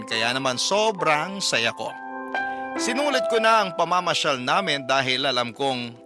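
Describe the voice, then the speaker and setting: A man's voice: a little high, close to an everyday voice. A man reading a young mother's letter in the first person, narrating in a confiding, reflective way. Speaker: letter narrator in a radio drama.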